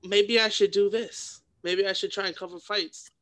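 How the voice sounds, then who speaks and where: A man's voice: sits high, steady tone, moderate pace, fairly bright tone. A young man hosting a casual interview, informal and agreeable, often affirming what his guest says. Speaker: podcast host, interviewer.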